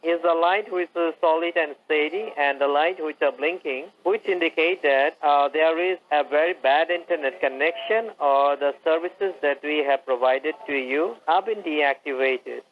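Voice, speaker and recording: A man's voice: on the high side, moderate pace, few pauses, slight background noise. Tinny phone voice of a hesitant man. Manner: hesitant, many fillers, asks for repeats. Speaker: scam caller. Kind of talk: scripted tech-support pitch.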